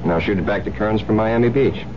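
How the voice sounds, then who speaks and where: Radio drama villain male actor: low, outwardly soothing murderous husband, clear vehicle noise behind. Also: brisk, lightly acted. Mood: smooth, coaxing. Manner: coaxing, casual. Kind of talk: old radio drama acting. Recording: dull radio recording, loud cabin noise.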